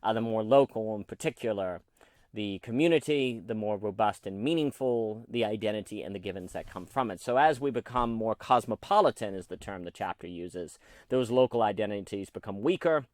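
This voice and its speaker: A man's voice: on the low side, brisk, no room sound, studio quality. A man hosting his own talk, thinking aloud about ideas in a loose, rambling way with frequent "uh"s and restarts. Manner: thoughtful, rambling with many fillers and restarts. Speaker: podcast host discussing philosophy. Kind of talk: unscripted solo commentary.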